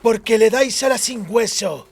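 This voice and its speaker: A man's voice: sits high, unhurried, vocal fry, breathy edge, pitched above the performer's own voice, exaggerated voice. A man voicing a furious, impatient villain who barks brusque orders in a fantasy audiobook. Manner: shouting, brusque.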